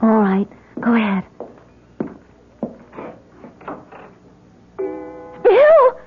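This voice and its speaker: An actress playing an anxious, loyal young woman who speaks haltingly and nervously in a 1970s radio drama. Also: on the high side, wildly swooping pitch, music in the background. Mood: frightened. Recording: muffled, narrow-band 1970s radio recording.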